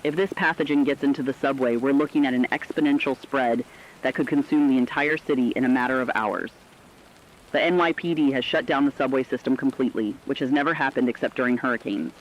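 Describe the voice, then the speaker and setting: A man's voice: medium pitch, fast talker, muffled recording, natural voice. A man playing a concerned, compassionate radio co-host, giving scripted commentary in an earnest, emotive way. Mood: somber concern.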